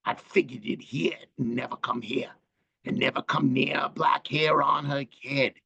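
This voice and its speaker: A man singing the part of a hard, scheming old grandfather in a low, muttered voice. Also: fairly even intonation, moderate pace, vocal fry, sharp tone, slightly rough, heavily acted. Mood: cold.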